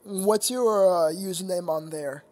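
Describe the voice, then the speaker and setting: A man's voice: sits high, a little slow, darkish tone. A young man chatting casually with viewers on his live stream, rambling and stammering with frequent laughter.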